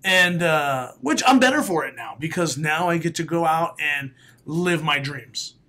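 Comedian guest, male, late 30s, raspy, bright forceful tone, animated talker, studio mic. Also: a little high, unhurried. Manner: animated storyteller, laughs often, bursts into loud announcer calls. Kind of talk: casual podcast interview. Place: small studio room. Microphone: studio mic, headphones.

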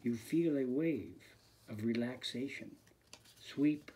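A man, a fitness instructor, leads a guided meditation in a slow, soft, soothing voice that often sinks to a near whisper. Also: medium pitch, a little hiss or hum.

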